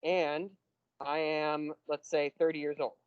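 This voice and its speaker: A man teaching a university math class, explaining step by step and slowing down on key terms. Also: a little high, level tone, smooth, dry recording, lo-fi sound. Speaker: math lecturer. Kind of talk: classroom lecture.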